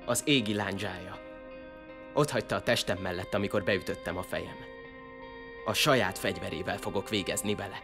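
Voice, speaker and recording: A young man acting an earnest, easily flustered outcast lad who is defiant and eager for revenge, in an audio drama. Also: sits low. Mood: solemn. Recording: music behind the voice.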